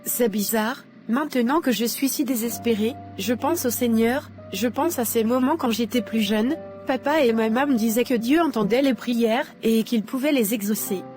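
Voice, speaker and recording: Woman doing a scared girl locked up, desperate and pleading, higher voice. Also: moderate pace, a little mellow, soft background music, close to an everyday voice. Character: frightened young woman held captive.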